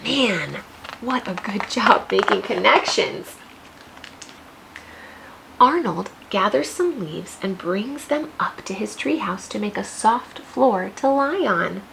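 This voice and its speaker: An American woman teacher reading a picture book aloud to young children, expressive, with questions and teaching asides. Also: medium pitch, gravelly, slight background noise, low-quality recording.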